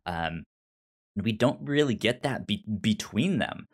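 A man's voice: on the low side, lively intonation, slow pace, husky. A man co-hosting a podcast, chatting casually about his opinions, with a stutter that repeats the starts of words. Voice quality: stutter, repeating initial sounds. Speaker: podcast co-host. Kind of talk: casual opinionated review chat.

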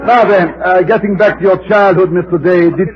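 Muffled vintage radio, man acting inquisitive doctor, slightly high voice, formal, hesitant, pondering. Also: fairly even intonation, a little fast, a loud crowd, close to an everyday voice. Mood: puzzled. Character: deliberate, inquisitive male psychiatrist. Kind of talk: 1950s radio comedy acting. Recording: dull, faintly noisy old radio recording.

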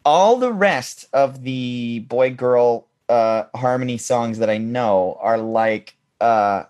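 A man co-hosting a podcast in casual chat, talking haltingly with a deep voice. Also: a little mellow. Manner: halting, many fillers and restarts, laughs often. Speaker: podcast co-host.

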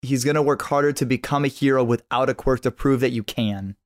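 A man's voice: medium pitch, brisk. A man guesting on a podcast, explaining things in a casual, earnest way.

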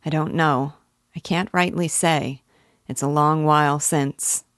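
Woman voicing a slow, withdrawn, wretched weaver. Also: low-pitched, steady tone, a little mellow, no room sound, studio quality. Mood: dejected, mild. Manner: slow, mild, hesitant.

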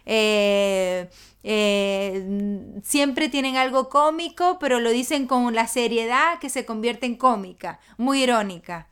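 A woman's voice: a little high, slow pace, fairly bright tone, clean tone. A woman from Venezuela with a Venezuelan accent, chatting as a guest in a casual interview, often restarting and repeating words. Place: London.

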